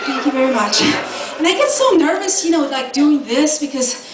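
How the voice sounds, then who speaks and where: A woman's voice: high-pitched, expressive, moderate pace, noisy background. A middle-aged woman from Iran doing a stand-up comedy set, sassy and laughing at her own jokes. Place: comedy club stage. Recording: echoey club from far off. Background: audience laughter.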